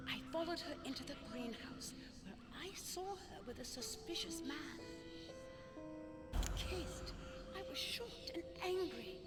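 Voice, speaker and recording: A woman playing a guilt-stricken young servant girl in a video game, confessing haltingly. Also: high voice, lively intonation. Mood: sorrowful confession. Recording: loud music behind the voice.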